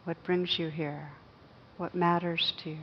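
A woman with a fairly low voice leads a guided meditation. She speaks gently and takes her time, leaving long pauses between short phrases. The recording is a bit muffled, with faint noise behind her. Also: level tone. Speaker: meditation teacher.

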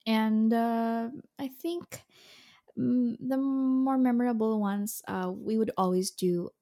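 A young woman, an opera soprano, talking casually, pausing often with fillers and restarts. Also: on the high side, steady tone, mellow. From Philippines. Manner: hesitant, many fillers and restarts, occasional laughs. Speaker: opera singer, soprano guest. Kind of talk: casual interview chat.

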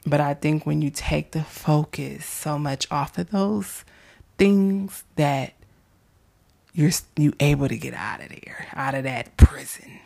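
Woman podcast host, hoarse and raspy, fading into breathy whispers; gentle pep talk on faith. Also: deep voice, slow. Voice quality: hoarse, gravelly. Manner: soft-spoken, drops into whispers, affectionate. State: losing her voice. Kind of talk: casual faith-based encouragement.

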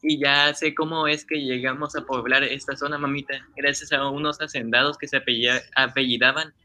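Bright, eager Peruvian schoolboy acted by a man. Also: medium pitch, little pitch movement, a little fast, no room sound. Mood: earnest, chatty. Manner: eager, earnest, reciting. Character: Juancito, eager, talkative, obedient schoolboy son. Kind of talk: amateur Spanish-language radio drama acting.

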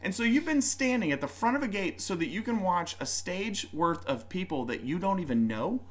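Rambling, animated man co-hosting a podcast. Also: high voice. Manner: rambling, joking, with quick put-on voices. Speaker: podcast co-host. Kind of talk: casual chat.

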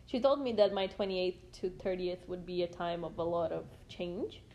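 A woman's voice: fairly low pitch, steady tone, normal speed, darkish tone. A woman in her twenties talking casually with a friend in a playful, laughing way. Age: 20s. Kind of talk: casual chat between friends.

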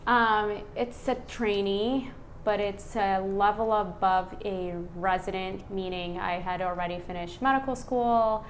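A woman in her thirties from Iraq, a physician speaking as a podcast guest. She talks in a relaxed, reflective way, with many "um" and "like" fillers. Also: mid-range voice, a little slow, slight background noise. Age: 30s. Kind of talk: casual conversational interview.

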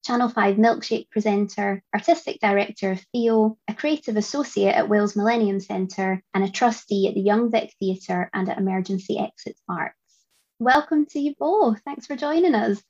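A woman hosting a podcast, reading introductions and asking questions in a bright, upbeat presenter manner. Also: mid-range voice, moderate pace. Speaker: podcast host. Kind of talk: scripted podcast introduction and interviewing. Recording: dull remote home recording.

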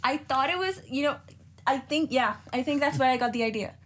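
A young woman shares advice in a relaxed, unscripted way. Her voice sits a little high and has a husky edge. She laughs at herself and restarts her sentences often. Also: brisk. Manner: candid, self-mocking, with many fillers and restarts. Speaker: guest. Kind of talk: casual advice chat.

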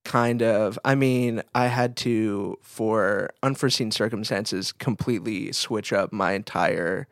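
A man's voice: fairly low pitch, measured pace, few pauses, no echo. Casual male podcast co-host, dry sound.

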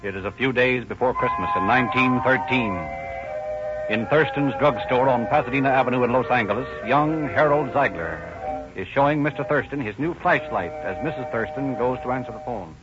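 A man's voice: mid-range voice, animated, brisk. Old time radio announcer guy, formal scripted read, dull sound, music behind. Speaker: announcer and narrator.

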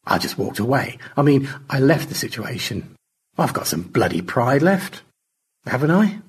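A man's voice: medium pitch, sing-song, normal speed, husky, pitched above the performer's own voice, exaggerated voice. A man voicing an irritable, sardonic space crewman in a storybook, teasing and needling. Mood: indignant.

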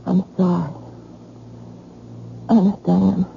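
A woman playing a brash, insecure, slangy young woman with halting repetitions, in old-time radio drama style on a muffled recording. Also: on the low side, little pitch movement, creaky, mellow, slight background noise, full character voice. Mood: apologetic, earnest. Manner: slangy, halting, repeats herself.

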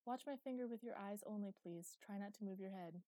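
A woman voicing a serious, motherly little girl in an audiobook, earnest, polite and gently bossy. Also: mid-range voice, brisk, no room sound, lightly acted. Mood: serious, authoritative. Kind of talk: audiobook character dialogue.

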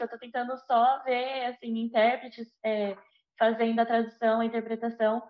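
A young woman with a Brazilian Portuguese accent interviewing, asking long rambling questions filled with tag words. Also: on the high side. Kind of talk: conversational interview. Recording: dull lo-fi audio.

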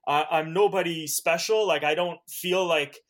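Earnest Canadian-raised man, Hungarian first language, evangelist guest, slightly high voice, halting restarts. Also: fairly bright tone. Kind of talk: podcast interview.

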